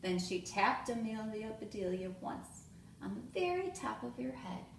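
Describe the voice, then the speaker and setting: A woman reading a children's storybook aloud in an expressive way, as a teacher does for young children. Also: fairly high pitch, measured pace, creaky. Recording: echoey room with faint noise.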